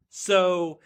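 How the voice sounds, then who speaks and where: A man's voice: high-pitched, clear, resonant tone. A man in his thirties from Nevada, a podcast guest chatting casually, joking and full of "like" fillers and restarts. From Reno, Nevada. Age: 30s. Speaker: podcast guest, web developer. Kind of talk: casual interview chat.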